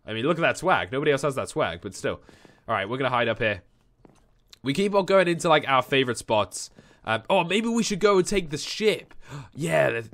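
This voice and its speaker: A young man with a nasal, stuffy voice from a cold, giving excitable Minecraft commentary on a headset at home. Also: on the high side. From England. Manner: excitable, yelps and shouts when chased. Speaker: gaming YouTuber. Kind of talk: Minecraft let's play commentary. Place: home room.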